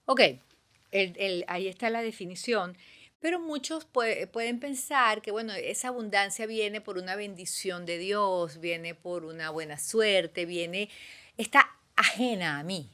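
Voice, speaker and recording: A woman host asking measured, probing questions in a radio interview. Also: medium pitch, sharp tone.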